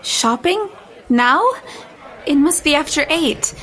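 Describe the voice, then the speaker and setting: A wary young gothic heroine, with a high voice. She speaks politely and carefully, with some hesitation, as in an audio drama. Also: expressive, slow pace, a bit bright, heavily acted. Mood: anxious questioning. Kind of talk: audio drama acting with narration.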